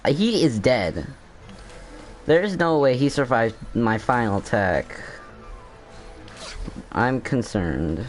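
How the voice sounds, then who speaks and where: A man's voice: mid-range voice, very animated, slow pace, pauses often, faint music behind. A man giving casual gameplay commentary, rambling and thinking aloud as he plays. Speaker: gamer commentator.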